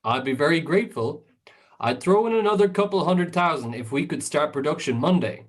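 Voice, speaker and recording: A man acts out a smooth-talking Hollywood director in a radio play. His voice is somewhat high for a man and husky. He is businesslike and persuasive, offering money freely. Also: animated, fairly quick. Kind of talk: amateur radio drama acting.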